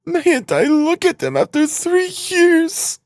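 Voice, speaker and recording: A man's voice: high-pitched, animated, unhurried, no room sound, clean studio sound, voice pushed up. A man voicing an anxious, timid, doting middle-aged mother in an audiobook, pleading and fretful. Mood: tearful. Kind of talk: audiobook character acting.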